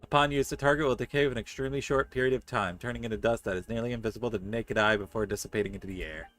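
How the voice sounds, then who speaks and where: A man's voice: medium pitch, steady tone, rapid-fire. A man streaming a game, reading its text aloud and dropping in casual, joking commentary. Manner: joking asides between read passages. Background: soft game music.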